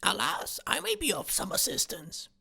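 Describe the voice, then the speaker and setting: A haughty, godly spinster for a comic murder-mystery drama, preaches with pompous indignation. The voice is piercingly bright and swings dramatically up and down, with heavy gravel running through it. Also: female voice, high voice, wildly swooping pitch, moderate pace, a little hiss or hum, heavily acted. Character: self-righteous, prudish, pious spinster sister, scandalized and haughty.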